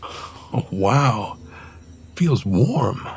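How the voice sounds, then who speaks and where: A man voicing a gentle, sentimental aging father animal in a motion comic, speaking tenderly and with emotion in a soft, husky voice. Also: mid-range voice, sing-song, extremely slow, exaggerated voice. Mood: moved, tender.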